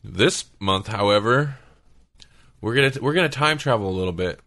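A man's voice: on the low side, expressive, normal speed, sharp tone. A man hosting a podcast, talking casually and conversationally with his co-hosts. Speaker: podcast host.

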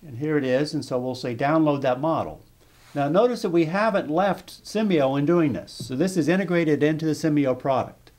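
A man narrating a step-by-step software tutorial over screen footage, explaining calmly with small restarts. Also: fairly high pitch, moderate pace. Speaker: software demonstrator.

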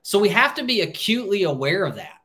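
A man's voice: high-pitched, big pitch swings, quick pace, fairly bright tone. A man in his thirties with an American accent, explaining things informally to camera in a conversational, emphatic way, repeating words for stress. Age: 30s. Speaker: financial planner hosting a livestream.

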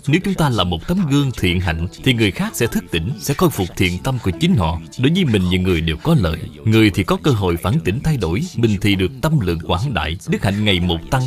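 A man acts a grave cartoon father with a low voice. He lectures like a moral teacher, measured and authoritative, with music underneath. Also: big pitch swings. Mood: grave, teaching. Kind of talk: dubbed educational cartoon acting.

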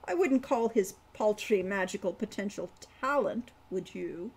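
A woman voicing a scornful, aloof, non-human female shopkeeper of magic in a storybook, condescending and measured. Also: medium pitch, expressive, darkish tone, breathy edge. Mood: scornful, bored.

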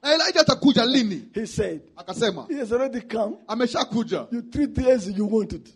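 A middle-aged man preaching emphatically into a handheld mic on a conference hall stage, pausing for an interpreter and calling for responses. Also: extremely high pitch, expressive, husky. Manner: emphatic, rising to shouts, calls for responses. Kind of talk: shouted sermon with live interpretation. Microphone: handheld microphone.

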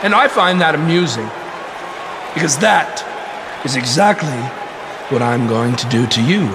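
A menacing cult-leader wrestler from a video game. His pitch swings widely in theatrical monologue with dramatic pauses, echoing through a big space over clear noise. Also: expressive. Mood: menacing, gloating. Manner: theatrical, slow dramatic pauses, sudden shouts. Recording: echoing arena reverb, noisy background.

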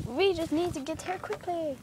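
A young girl playing out a toy pet adventure with excited squeals and put-on voices, filmed on a phone outdoors. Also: very high voice, normal speed. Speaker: toy video maker voicing pets. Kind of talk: playful toy play acting. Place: backyard playground outdoors. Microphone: handheld phone camera. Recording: phone audio with background noise.

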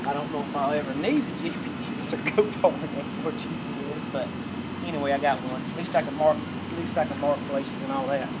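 A middle-aged man with a drawl and a slightly raised pitch walks through his backyard project in a loose, self-correcting ramble. He is captured on a camera mic in the open air, with heavy background noise running under his voice. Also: fairly quick. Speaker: vlogger showing his boat upgrades. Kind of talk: casual show-and-tell. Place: backyard outdoors. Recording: outdoor camera audio with loud background noise.

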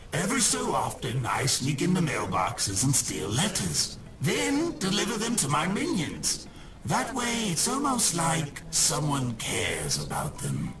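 A man's voice: fairly high pitch, heavily acted. A man voicing a gleeful, theatrical shadowy ghost villain in a video game, mocking and menacing, with a taunting, hammy delivery that swings from mutters to shrieks. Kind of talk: exaggerated cartoon video game villain acting. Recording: loud game music behind the voice. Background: loud video game music.

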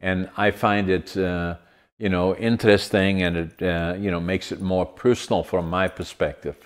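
A man's voice: low-pitched, flat delivery, normal speed. A man in his eighties with a Dutch accent, hosting a casual interview over a video call, with many fillers and restarts. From Netherlands. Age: 80s. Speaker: podcast host. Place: home room with large window.

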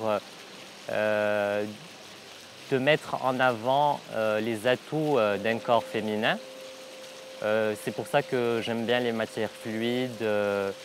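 Moroccan guy in his 30s, designer, slow halting talk full of euhs, outdoor interview, bit of background hiss. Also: medium pitch. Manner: hesitant, many drawn-out "euh" fillers. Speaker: fashion designer. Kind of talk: interview to camera. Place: outdoor hotel garden by a pool.

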